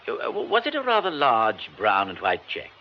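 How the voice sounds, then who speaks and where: A man's voice: mid-range voice, wildly swooping pitch, normal speed. An actor playing a jaunty, self-mocking British theatre man in a radio drama, wordy, hesitant and wry. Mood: sheepish. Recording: muffled radio broadcast, faint noise.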